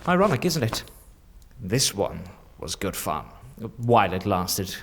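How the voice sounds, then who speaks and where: A man playing a sly, theatrical changeling in a dark fantasy audio drama, teasing and cryptic, lingering over words. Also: on the low side, very animated, measured pace, slight background noise, full character voice. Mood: amused, teasing. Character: sly, theatrical shapeshifting changeling in a stolen man's body.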